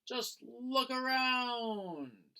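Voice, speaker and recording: Man playing a confident, bright little storyteller girl. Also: very high voice, animated, very slow, smooth, pitched above the performer's own voice, heavily acted. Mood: eager, bossy.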